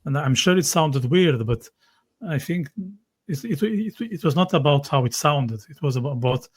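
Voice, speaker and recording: A man's voice: on the high side, expressive, normal speed, slightly rough. Man, hesitant podcast talk. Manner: hesitant, repeats words. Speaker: podcast host. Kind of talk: casual podcast chat.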